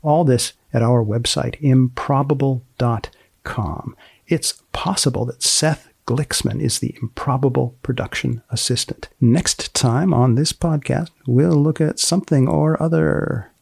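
A man narrates as a podcast host in a measured, deadpan style. His voice is gravelly and rough around the edges, with a very dry, close sound. The phrasing is deliberately formal. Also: medium pitch, no echo.

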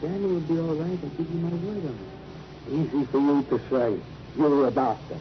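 High-pitched anxious New sidekick played by a man. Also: normal speed, close to an everyday voice. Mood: anxious, indignant. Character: anxious, loyal, plain-spoken streetwise friend. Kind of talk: 1950s radio crime drama acting. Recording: muffled old radio recording, faint noise.

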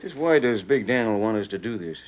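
An actor playing an eager but deferential stagecoach robber with a rustic cowboy drawl, in an old radio western. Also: male voice, lowish voice, animated, a little fast, highs cut off, a little hiss or hum, natural voice. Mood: sheepish. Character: scheming, chuckling outlaw henchman, eager but deferential.

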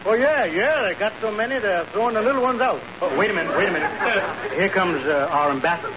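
A man's voice: high-pitched, lively intonation, quick pace, a loud crowd. An actor playing a wry, sardonic tavern waiter who needles his boss with dry, folksy wisecracks in a 1940s radio comedy. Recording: very noisy, muffled old radio broadcast.

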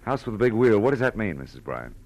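An actor playing a tough but compassionate private detective, terse and world-weary, in 1940s radio noir. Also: male voice, lowish voice, very animated, fast, a little mellow, poor audio. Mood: probing, calm. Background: dramatic music.

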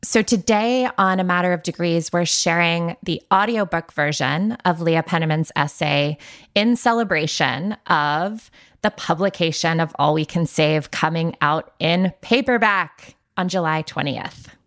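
A woman's voice: on the low side, unhurried, fairly bright tone, no echo, studio quality. A woman podcast co-host introducing material in a conversational style.

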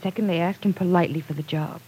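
A woman's voice: lowish voice, fairly even intonation, quick pace, warm tone, a little hiss or hum, natural voice, low-quality recording. An actress playing an earnest, persuasive young businessman's daughter in an old radio drama, pleading and insistent. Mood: firm, scolding. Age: young adult.

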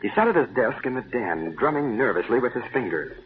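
A man's voice: medium pitch, big pitch swings, fairly quick, lightly acted. A man narrating an old-time radio serial in a formal, suspenseful manner. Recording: hissy, tinny old radio recording.

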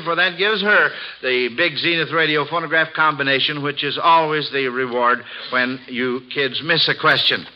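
A man hosting a 1940s radio quiz for children, genial and hesitant with frequent uhs. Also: on the high side. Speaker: quiz show host. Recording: dull lo-fi old radio recording.

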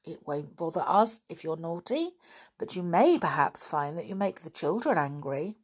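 A woman's voice: lowish voice, normal speed, slightly rough. A woman reading an older stern headmistress. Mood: firm. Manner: calm and firm.

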